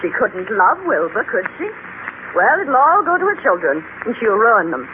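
An actress playing a knowing, judgmental small-town gossip, emphatic and opinionated, in 1930s radio style. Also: female voice, mid-range voice, very animated, moderate pace, close to an everyday voice. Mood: knowing, scornful. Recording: noisy, narrow-band 1930s radio recording.

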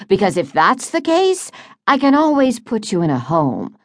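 A woman's voice: medium pitch, wildly swooping pitch, moderate pace, dry recording, clean studio sound, voice pushed up. A woman voicing a wealthy, impatient grown son with a curt manner in an audiobook. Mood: angry. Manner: curt, exasperated.

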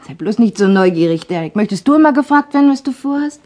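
An actress playing a brisk, teasing office secretary in a German crime radio play. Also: female voice, mid-range voice, expressive, a little mellow, natural voice. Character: brisk, bossy but friendly female office secretary. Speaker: actor in a crime radio drama.

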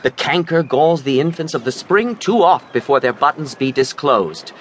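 A man acts a young nobleman in a Shakespearean audio play. His mid-pitched voice rises and falls widely as he gives earnest, protective counsel in measured verse. Faint ambience sits behind him. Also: lively intonation, slight background noise, close to an everyday voice. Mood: earnest warning. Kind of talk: Shakespearean audio drama acting.

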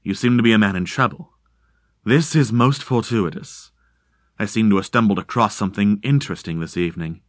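A man reading aloud, voicing a mysterious unseen stranger in the fog who speaks slowly and formally in a deep, insubstantial voice. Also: dry recording, clean studio sound, natural voice. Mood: calm, menacing. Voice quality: deep and insubstantial, slow, measured.

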